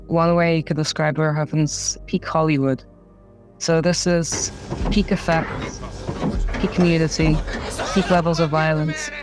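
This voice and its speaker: A woman's voice: low voice, steady tone, unhurried. A woman from Eastern Europe who works as a filmmaker, talking reflectively in a film discussion. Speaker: filmmaker, commentator. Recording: music playing behind the voice. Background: background music.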